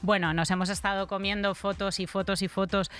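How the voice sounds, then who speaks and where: A woman's voice: medium pitch, flat delivery, brisk, fairly bright tone, clean tone. A middle-aged woman with a Castilian Spanish accent co-hosting a podcast, giving casual humorous commentary in an irreverent, emphatic, colloquial way on a close studio mic. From Spain. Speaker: podcast co-host. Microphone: close studio microphone.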